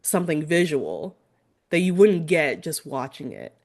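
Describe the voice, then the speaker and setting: A woman with a lowish voice hosts an interview in a relaxed, chatty way. She sounds keen and friendly as she asks her questions. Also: measured pace. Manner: friendly, enthusiastic, with light laughs. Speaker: podcast host, interviewer. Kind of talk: casual interview chat.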